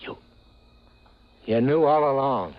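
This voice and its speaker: An American actor playing an earnest, smitten young hunter with a hesitant, stammering delivery in a 1950s radio drama. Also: male voice, medium pitch, lively intonation, slow. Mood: panicked. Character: smitten young man, a hunter in a deadly game. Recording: noisy, dull vintage radio broadcast.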